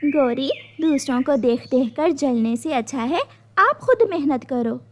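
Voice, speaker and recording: A woman voicing a caring mother bird in a children's cartoon, gentle and earnest. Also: sits high, animated, unhurried, a little hiss or hum. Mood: friendly, earnest.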